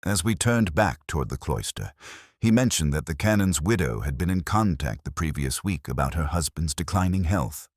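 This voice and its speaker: Very deep-voiced, weary detective narrator, played by a man. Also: animated, darkish tone, no room sound, clean studio sound. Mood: brooding, wry. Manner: measured, wry, reflective. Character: weary, razor-minded male police inspector, brooding and literary. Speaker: first-person narrator. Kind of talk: scripted detective story narration.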